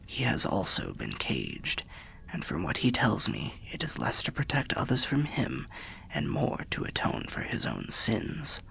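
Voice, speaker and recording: A man playing a weary, wry undead storyteller in an ASMR fantasy roleplay, confiding in a breathy, gravelly half-whisper with old-fashioned phrasing. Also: medium pitch, huge pitch swings, unhurried, a bit bright, a little hiss or hum, exaggerated voice. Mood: confiding, wary.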